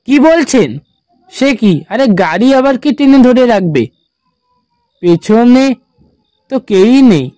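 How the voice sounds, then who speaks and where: A man voicing a nervous, impatient male passenger in an animated horror story. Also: extremely high pitch, slow pace, pauses often, no echo, pitched above the performer's own voice, exaggerated voice. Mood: disbelieving. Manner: agitated, questioning.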